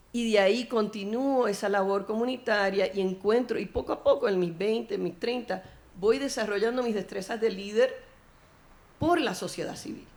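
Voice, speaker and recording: A middle-aged woman with a Puerto Rican Spanish accent speaks on a forum panel, recounting her path in an earnest, reflective tone. Her pitch sits in an ordinary middle range, and her phrasing often restarts mid-sentence. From Puerto Rico. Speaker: panel speaker, former elected official. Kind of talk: personal speech at a panel forum.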